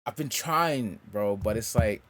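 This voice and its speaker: A young man with a deep voice, chatting casually about making his music and saying "bro" a lot. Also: lively intonation, measured pace. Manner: loose slangy talk, says "bro" a lot. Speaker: rapper and singer.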